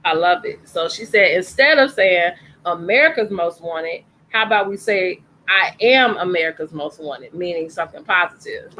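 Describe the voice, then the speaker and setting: A woman's voice: lowish voice, animated, moderate pace, few pauses, bright, forceful tone, slight background noise, rough recording. A woman hosting an online story time, recapping each page in a casual, encouraging way. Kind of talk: casual read-aloud with commentary.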